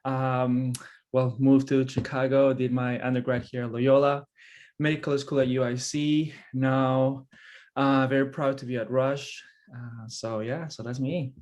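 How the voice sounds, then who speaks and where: A second-year resident from Colombia introducing himself in short, plain statements on a video call. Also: male voice, medium pitch, level tone, measured pace, a little mellow. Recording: dull lo-fi video call audio.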